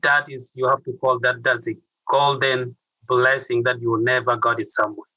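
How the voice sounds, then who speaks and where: Middle-aged ugandan guy telling his life story, bright forceful voice, dry close sound. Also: medium pitch, flat delivery, moderate pace, no echo, low-quality recording. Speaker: interview guest, youth mentor. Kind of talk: personal storytelling in an interview.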